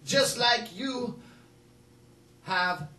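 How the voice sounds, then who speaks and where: A man's voice: very high voice, expressive, extremely slow, exaggerated voice. A man performing a fiery male political preacher-orator in a comedy monologue, with emphatic, repetitive rhetoric. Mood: urgent, warning. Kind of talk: mock political oratory from a film. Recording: roomy, distant mic, faint noise.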